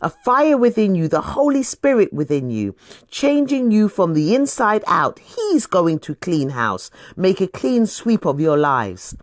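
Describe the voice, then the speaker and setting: A woman voicing a fiery, scolding male desert preacher in dramatic scripture storytelling, with forceful, urgent emphasis. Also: medium pitch, big pitch swings, a little slow, no echo, clean studio sound. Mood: urgent, exhorting.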